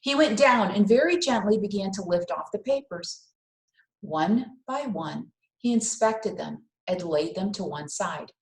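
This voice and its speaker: A woman reading a children's story aloud in a careful, expressive storytelling manner with dramatic pauses. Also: medium pitch. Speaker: read-aloud host. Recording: roomy, echoing indoor sound.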